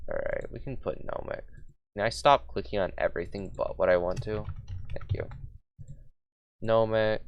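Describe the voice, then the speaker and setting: A man's voice: low voice, vocal fry, a little hiss or hum. A young man streaming casually, thinking aloud, reading notes half under his breath and mocking himself, as he says he is losing his voice. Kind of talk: casual livestream, thinking aloud while building a conlang.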